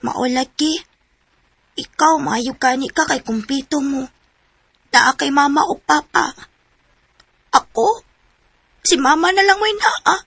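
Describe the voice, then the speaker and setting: A woman's voice: high voice, takes it slowly, creaky, long pauses, a bit bright, no room sound, full character voice. A young woman playing a struggling student who confides her family troubles to a friend, in a radio drama. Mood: sad. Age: young adult.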